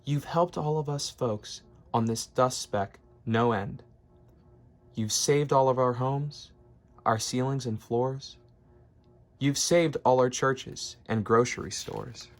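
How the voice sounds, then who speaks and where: A man's voice: medium pitch, slow, soft background music. A man voicing a tiny, polite, grateful mayor of minuscule people in a storybook, courteous and earnest.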